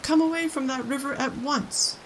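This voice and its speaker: A woman voicing a frantic mother from a storybook, shouting, scolding and pleading. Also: high voice, normal speed, a little hiss or hum, voice pushed up, poor audio. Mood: alarmed scolding. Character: frantic, shrill mother of a greedy boy. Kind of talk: storybook character voice.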